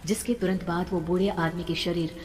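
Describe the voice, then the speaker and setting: A woman's voice: fairly low pitch, fairly even intonation, a little fast, a little mellow. A woman narrating a scripted horror story in a dramatic storytelling style. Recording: long reverb, background noise.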